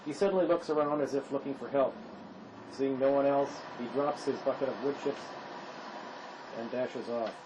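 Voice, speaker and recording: A man's voice: mid-range voice, normal speed, dull audio, noisy background. A man narrating a drama script, reading scripted stage directions in the present tense.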